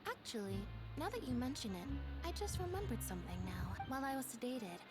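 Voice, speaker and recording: Soft-spoken thoughtful young female game character, higher register, over prominent music. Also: sing-song, a little fast. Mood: recollecting. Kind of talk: video game dialogue.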